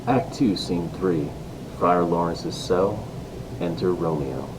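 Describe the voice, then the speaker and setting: A man reading stage directions as the plain narrator of an amateur play. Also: slow pace. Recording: distant mic, clear rumble and noise.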